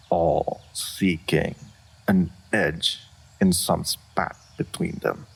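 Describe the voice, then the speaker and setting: A man playing an ancient, aloof male dragon in a fantasy roleplay audio, speaking formally and deliberately, stoic and wise, with a gravelly, husky voice. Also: deep voice, full character voice. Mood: weary, aloof. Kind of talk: roleplay audio monologue, measured fantasy acting.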